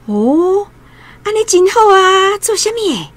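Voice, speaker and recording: A woman's voice: very high-pitched, big pitch swings, unhurried, no echo, heavily acted. An actress playing a kindly, fussing aunt in a radio drama, with a Taiwanese accent and a motherly, exclamatory manner. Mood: pleased. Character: kindly, fussing young aunt housing her niece.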